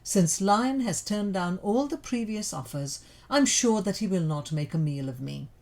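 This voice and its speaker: Woman as a humble, courteous storybook camel, expressive. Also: mid-range voice, lightly acted. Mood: hopeful, reasoning. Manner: formal, courteous, deliberate. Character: humble, trusting camel, a grateful guest.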